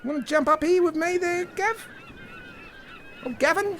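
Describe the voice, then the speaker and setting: A man's voice: extremely high pitch, measured pace, fairly bright tone. A man playing a cocky, chummy, teasing jet-ski rider in an improvised comedy skit, slangy and coaxing. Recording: amateur audio, some background noise.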